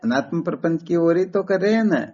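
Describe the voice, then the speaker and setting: Higher-pitched man teaching, muffled call recording. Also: animated, a little fast, mellow. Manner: didactic, explains step by step with rhetorical questions. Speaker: Vedanta spiritual teacher. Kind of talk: philosophical teaching over a phone call.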